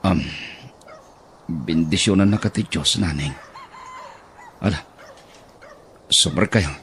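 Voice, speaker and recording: A man with an Ilocano accent acting a troubled, stubborn husband in a radio drama, with a husky voice. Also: low voice, takes it slowly, fairly bright tone, a little hiss or hum. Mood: subdued. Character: troubled, stubborn married man, frustrated and wary.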